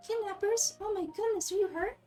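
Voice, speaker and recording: Girl doing a tiny nosy fairy sidekick from a game, super high pitched, excitable, exclaims everything. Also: a little fast, faint music behind. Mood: concerned. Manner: exclamatory, refers to self by name. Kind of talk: amateur game dialogue voice-over.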